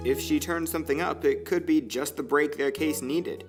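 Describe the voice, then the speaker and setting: A man's voice: on the high side, steady tone, normal speed. Male story narrator over faint music.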